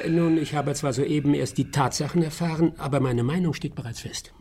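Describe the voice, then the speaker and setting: An actor playing a confident, amused master detective who lectures jovially in old radio drama style. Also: male voice, on the high side, brisk, a little mellow, a little hiss or hum, natural voice. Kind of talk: 1960s radio drama acting.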